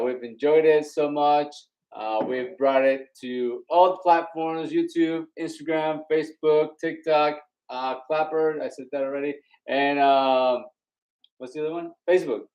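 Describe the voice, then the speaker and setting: A man's voice: on the high side, a little mellow, low-quality recording. A Colombian man with an accent, co-hosting a live worship stream in a loose, rambling way. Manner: easygoing, rambling, with many fillers. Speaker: co-host of an online worship show. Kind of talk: casual live-stream chat. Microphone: live stream on phones and devices.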